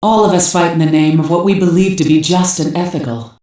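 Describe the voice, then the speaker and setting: In a very echoey, distant recording, an actress plays a cyborg squad commander with a lowish female voice. She is cool, composed and commanding, handing out terse orders and assessments in dubbed anime game style. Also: fairly quick, mellow, lightly acted. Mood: resolute. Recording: roomy heavy echo, off mic.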